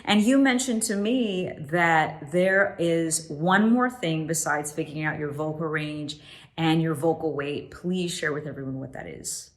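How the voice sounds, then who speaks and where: A woman's voice: low voice, unhurried. A woman hosting a casual interview in an upbeat way, speaking directly to her viewers. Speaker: host.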